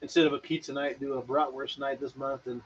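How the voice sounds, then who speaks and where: A man's voice: mid-range voice, little pitch movement, fast talker, a little hiss or hum. A man co-hosting a homebrewing stream, chatting casually in a laid-back, rambling way. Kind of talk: casual chat. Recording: lo-fi, dull livestream audio.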